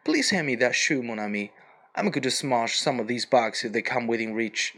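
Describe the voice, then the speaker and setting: A man voicing a starving, boisterous Russian man lying sick in a Paris attic, exclaiming loudly. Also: medium pitch, normal speed. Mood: exuberant. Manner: groaning, exclamatory, swings to cheerful.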